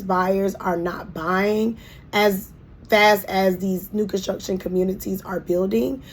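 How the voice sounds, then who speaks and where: A woman real estate agent talks through financing choices in a relaxed, conversational way. Her voice is hoarse, and she pauses often with ums and restarts. She sounds friendly and down to earth. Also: medium pitch. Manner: chatty and warm, with frequent ums and restarts. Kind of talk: casual explainer video.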